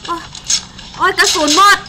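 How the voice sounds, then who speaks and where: A woman's voice: normal speed, very noisy. A young adult woman from Thailand hosting a game stream with casual, chatty, informal commentary. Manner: chatty and informal, exclaiming in play. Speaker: game channel host. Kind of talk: casual live gameplay commentary. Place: indoor streaming setup at a desk.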